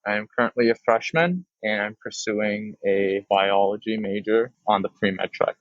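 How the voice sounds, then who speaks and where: A man's voice: lowish voice, normal speed, no room sound. A man in his late teens from Dubai, a student guest explaining his experience informally. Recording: dull, dry lo-fi recording.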